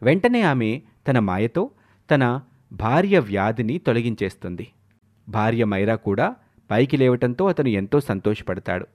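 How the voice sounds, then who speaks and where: A male storyteller with a medium-pitched voice reads a scripted folk-style tale. His narration is dramatic, carrying the listener through a magical moral story. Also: animated, measured pace, a little mellow.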